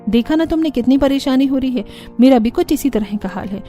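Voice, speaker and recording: A woman's voice: sits high, lively intonation, a little fast, mellow, soft background music. A woman playing a weary, long-suffering elder daughter-in-law in a cartoon story, grumbling and confiding.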